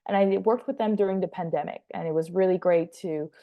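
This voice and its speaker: A woman's voice: on the low side, brisk, narrow, tinny audio. A woman in her twenties with a French Canadian accent, giving a casual talk to students as a guest speaker and assistant editor, chatty with many fillers and restarts. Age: 20s.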